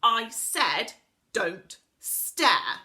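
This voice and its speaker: A woman voicing a prim, rude young girl who speaks loudly and indignantly. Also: mid-range voice, very animated, normal speed. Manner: loud, indignant.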